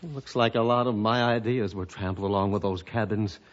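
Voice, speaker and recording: An actor playing a stubborn, suspicious man with a gruff, challenging manner in a radio adventure drama. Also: fairly low pitch, fairly even intonation, moderate pace, natural voice. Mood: puzzled, softened. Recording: dull lo-fi radio recording.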